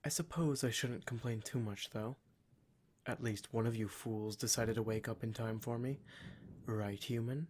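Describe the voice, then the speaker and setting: A man's voice: mid-range voice, steady tone, moderate pace, a little mellow, close to an everyday voice. A man playing a cruel, smug demon in a scripted ASMR roleplay, speaking in a formal, mock-polite and menacing way. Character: cruel, smug male demon, taunting captor of adventurers.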